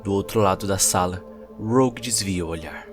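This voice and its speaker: A man's voice: low voice, normal speed. A man giving dramatic scripted narration of a cyberpunk story as its narrator. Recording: music behind the voice.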